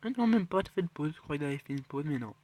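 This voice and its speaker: A man with a cold giving rambling, excitable commentary on his mobile game matches and chest openings. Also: a little high, quick pace, poor audio. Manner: rambling, excitable, self-correcting, drops to mutters. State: has a cold. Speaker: mobile game channel host. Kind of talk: casual gameplay commentary.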